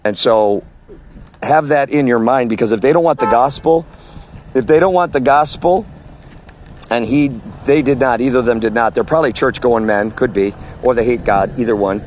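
A man with a loud voice talks to fellow street preachers in a forceful, sermon-like cadence. He sits at a middle pitch and records himself on a phone while walking outdoors. Faint street traffic hums behind him. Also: normal speed. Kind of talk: walking audio message. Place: outdoors at a farmer's market.

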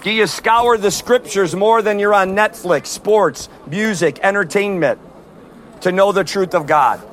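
Loud high-voiced street preacher man. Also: a little slow, slight background noise. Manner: forceful, repeatedly addressing "neighbors". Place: outdoors on a street outside a concert. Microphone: no amplifier.

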